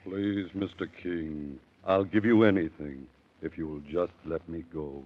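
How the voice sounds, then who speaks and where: A kindly, elderly prisoner in an old radio drama. His voice is low and beseeching, speaking earnest rhymes through a tinny, narrow-band recording. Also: unhurried. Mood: pleading, sorrowful. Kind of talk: 1930s radio acting.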